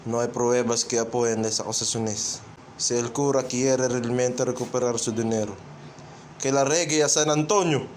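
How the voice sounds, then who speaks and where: A man playing a stern, hot-tempered military commander in a radio play, with a gruff, commanding manner. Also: mid-range voice, fairly even intonation, moderate pace, noisy background, close to an everyday voice.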